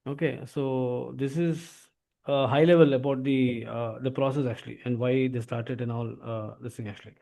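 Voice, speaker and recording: A man with an Indian accent explaining software as an instructor, often tagging phrases with "actually". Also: mid-range voice, steady tone, moderate pace, creaky. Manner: repeatedly tags phrases with "actually". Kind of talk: explanatory software training lesson.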